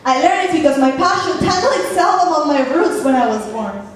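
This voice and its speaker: A woman with a Spanish accent performing spoken word poetry, reciting earnestly and measuredly with pauses. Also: high voice, lively intonation, recorded from a distance. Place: echoey poetry venue, performing seated. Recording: echoey room, voice from afar, faint hum.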